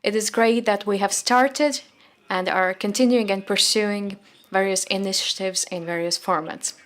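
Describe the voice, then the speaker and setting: Give me a woman relaying a formal press briefing at a middle pitch, steady and measured, pausing now and then on a filler. Her delivery is even and businesslike, keeping pace with the speaker. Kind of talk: formal press conference speech.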